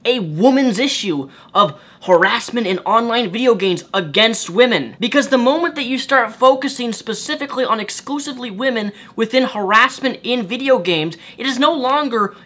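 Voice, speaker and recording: A young man with a higher-pitched voice, giving reaction commentary in an indignant, rhetorical way and repeating his points. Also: animated, normal speed, few pauses, fairly bright tone. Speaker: YouTube commentator.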